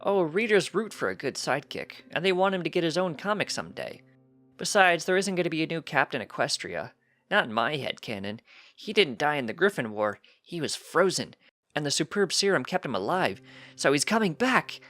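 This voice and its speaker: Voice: mid-range voice, sing-song, fairly quick, a bit bright, faint music behind, voice pushed up. A narrator voicing a precocious young pony colt, earnest and cheeky, chatting eagerly in a matter-of-fact way for a story reading. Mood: bright, chatty.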